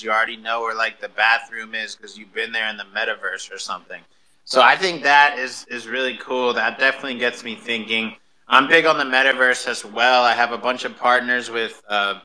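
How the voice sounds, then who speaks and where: A man's voice: medium pitch, pushed and bright, low-quality recording. A man hosting a casual live radio interview, talking loosely with plenty of "like" and "right". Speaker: radio show host.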